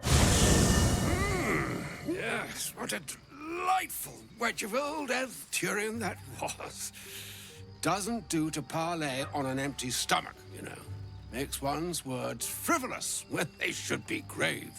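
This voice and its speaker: Elderly wizard, gravelly, unhurried, pompous, grandiloquent, theatrical fantasy game voice. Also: male voice, high-pitched, animated, fairly bright tone, faint music behind, heavily acted. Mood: fussy, jovial. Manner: pompous, florid, wordy, halting when uneasy. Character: ancient, gluttonous, grandiloquent old wizard, grave yet fussy. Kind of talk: theatrical video game voice acting.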